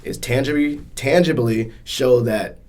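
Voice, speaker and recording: A man's voice: mid-range voice, a little slow, a little mellow. A man hosting a podcast in a casual, conversational interview style, speaking colloquially and encouragingly. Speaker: podcast host.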